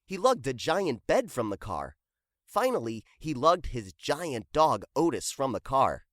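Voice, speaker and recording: Low-voiced, expressive woman storyteller. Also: unhurried, dry recording, studio quality. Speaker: children's book reader.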